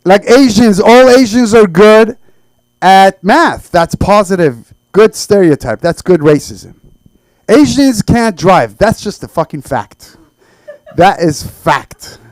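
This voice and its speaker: A man from Iran performing a stand-up set in a halting, conversational way. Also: high-pitched, expressive, dry recording. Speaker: stand-up comedian. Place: small comedy open mic.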